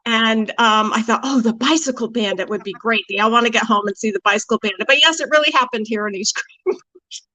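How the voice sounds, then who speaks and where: Higher-voiced woman chatting, dry close sound. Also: fast talker, bright, forceful tone, dry recording. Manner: chatty, informal. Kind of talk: casual, conversational answers in an online discussion. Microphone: video call.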